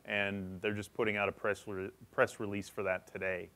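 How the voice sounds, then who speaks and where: A man's voice: fairly low pitch, little pitch movement, moderate pace, bright, forceful tone. A man presenting a technical session at a conference, explaining in a matter-of-fact way.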